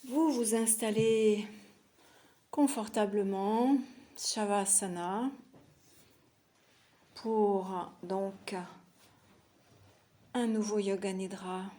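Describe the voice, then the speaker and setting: A woman teaching yoga nidra, guiding a meditation in a soothing, unhurried manner with long pauses between short phrases. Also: medium pitch, fairly even intonation, mellow. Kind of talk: guided relaxation meditation.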